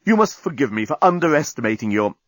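A man voicing a smug, condescending male alien starship navigator, speaking in a slow, deliberate and formal way for an audiobook. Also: a little high, big pitch swings, dry recording, studio quality. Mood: measured. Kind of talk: audiobook character voice.

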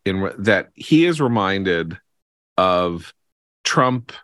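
Podcast host, American male, very deep voice, emphatic and halting political commentator, dry recording. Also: very animated, fairly bright tone, dry recording.

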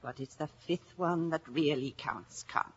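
A woman's voice: deep voice, unhurried, breathy edge. An actress playing a droll, ominous Irish fortune-telling woman, portentous and wry, in a 1950s radio drama. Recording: dull 1950s radio recording.

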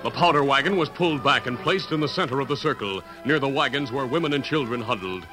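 A man's voice: mid-range voice, loud music behind the voice, low-quality recording. Dramatic old-time radio narrator, a man. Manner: formal, measured diction.

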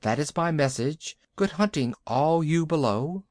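A man voicing a loyal messenger kite bird in a storybook, calling out and reporting briskly. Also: on the high side, expressive, a little mellow, dry recording, studio quality.

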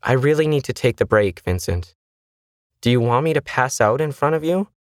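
A man's voice: mid-range voice, animated, normal speed, dry recording, clean studio sound, voice pushed up, close to an everyday voice. A man voicing a stressed, weary woman who trains machines, curt and defensive, in an audio story. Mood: stressed, protesting.

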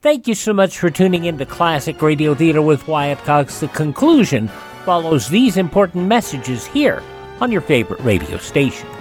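A man's voice: a little high, big pitch swings, normal speed, faint music behind. A man hosting a vintage radio program, presenting shows in a conversational announcer style. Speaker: radio show host.

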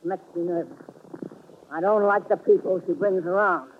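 A woman's voice: lowish voice, fairly even intonation, moderate pace, close to an everyday voice. A woman playing a crabby, tough old American hotel clerk in a 1940s radio drama, curt and dismissive. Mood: irritated, dismissive. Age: older. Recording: noisy, phone-like 1940s radio recording.

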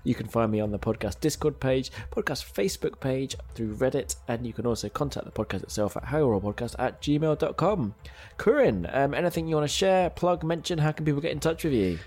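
A man's voice: medium pitch, animated, a little fast, darkish tone. A man hosting a tabletop game podcast, upbeat as he reads thanks and plugs to close the episode. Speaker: podcast host and player. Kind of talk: casual outro chat and sign-off.

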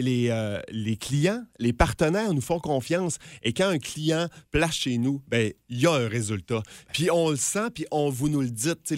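A man with a Quebec French accent speaks on a morning radio show in a dry, close studio sound. He talks in an upbeat, promotional way at a middle pitch, and his speech is full of hesitant fillers. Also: no echo. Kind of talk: enthusiastic radio chat.

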